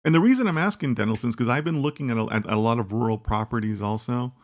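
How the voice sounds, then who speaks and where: A man's voice: mid-range voice, quick pace. A man hosting a casual data science livestream, thinking aloud in a rambling, conversational way and tagging his points with "right?". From United States. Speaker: host of a live data science chat. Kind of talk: casual livestream chat reading viewer comments.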